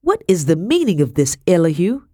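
A woman voicing an imperious, frightened colonial-era lady in an audiobook, sharp, demanding and indignant. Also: lowish voice, lively intonation, normal speed, no echo, clean studio sound, voice pushed up. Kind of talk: dramatic audiobook character acting.

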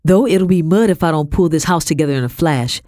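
A woman voicing a bossy, fast-talking middle-aged housekeeper with rustic grammar in an audiobook. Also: low-pitched, warm tone, dry recording, clean studio sound, lightly acted. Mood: scolding. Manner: brisk, scolding, rustic grammar. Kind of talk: audiobook character voice.

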